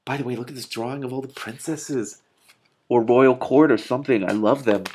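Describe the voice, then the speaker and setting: A man hosting a read-aloud, giving an expressive, emphatic storybook reading with casual asides. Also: medium pitch, a little fast. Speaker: host reading a book aloud with commentary.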